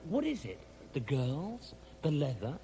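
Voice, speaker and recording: A man playing a quick-thinking space traveller, cajoling and exasperated, in a sci-fi comedy audio drama. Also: on the high side, wildly swooping pitch, creaky, mellow, slight background noise, full character voice.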